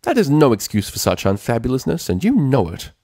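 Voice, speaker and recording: Man putting on a fussy, high-pitched lady pony. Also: huge pitch swings, a little fast, mellow, no room sound, clean studio sound. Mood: scolding. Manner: scolding, theatrical.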